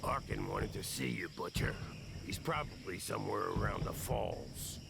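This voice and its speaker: A low, deeply gravelly orc squad leader in a video game. He is stern and watchful, handing out curt commands. Loud night insects sit behind the voice. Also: normal speed, very noisy. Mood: stern, wary. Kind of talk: video game cutscene acting.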